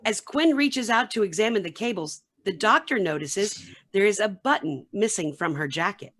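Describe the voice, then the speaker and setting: A woman's voice: medium pitch, animated, moderate pace, fairly bright tone. Woman narrator reading stage directions. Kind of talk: scripted narration.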